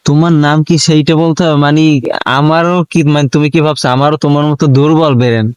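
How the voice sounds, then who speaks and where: A man's voice: on the high side, steady tone, quick pace, smooth, no room sound. A man with a Bangladeshi accent chatting casually as a prank call host, teasing and pressing with questions. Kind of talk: casual teasing phone chat in colloquial Bengali.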